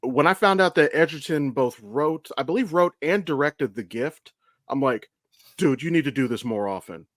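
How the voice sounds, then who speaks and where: A man's voice: on the high side, animated, moderate pace, a bit bright, no room sound, clean studio sound. A man co-hosting a podcast in casual chat, with a blunt, enthusiastic, sweary way of talking. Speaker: podcast co-host and amateur voice actor.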